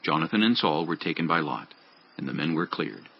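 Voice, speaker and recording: A man's voice: extremely low pitch, lively intonation, fairly quick, creaky, husky, dull audio. A man reading scripted narration for a dramatized audio Bible.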